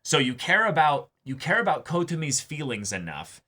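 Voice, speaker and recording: A man's voice: a little high, big pitch swings, normal speed, sharp tone. A man co-hosting a reaction show, talking casually and analytically in an emphatic way, repeating phrases for stress. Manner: emphatic, repeats phrases, acts out imagined dialogue. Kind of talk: casual analytical discussion of an anime episode.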